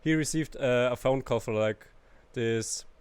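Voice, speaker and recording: A man from Germany with a noticeable German accent speaks as a guest on a casual podcast. He recites song lines in a steady, even voice, then drifts into halting, self-correcting chatter. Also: medium pitch, clean tone, no echo. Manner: reads lyrics aloud between halting, restarting comments.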